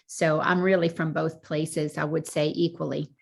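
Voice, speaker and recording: A woman in her fifties from the Dominican Republic and the US, a guest chatting on a video call, warm with thoughtful pauses. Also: deep voice, flat delivery, gravelly. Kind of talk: casual interview chat.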